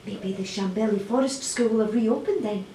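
A woman's voice: fairly high pitch, moderate pace, dark tone, close to an everyday voice. An actress playing an exasperated, outspoken mother in an audio play, with a blunt, insistent manner. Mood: weary. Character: exasperated, outspoken mother running a crowded household. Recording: echoey, distant, faint noise.

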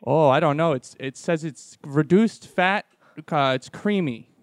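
A man's voice: on the high side, no echo, studio quality. A man playing a naive, worried father on a prank call, hesitant and stammering. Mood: hesitant, confused. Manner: hesitant, stammering, slow on the uptake.

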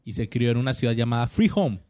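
A man's voice: medium pitch, lively intonation, quick pace, darkish tone, no room sound, clean studio sound. A man co-hosting a podcast, reading out actor bios with lots of slang and jokes. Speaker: podcast co-host. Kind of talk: casual podcast chat.

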